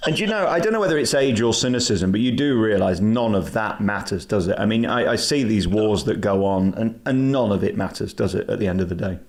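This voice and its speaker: A male host runs a casual interview in a jokey, teasing way. His pitch swings widely as he talks, giving him an animated delivery. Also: a little fast, few pauses.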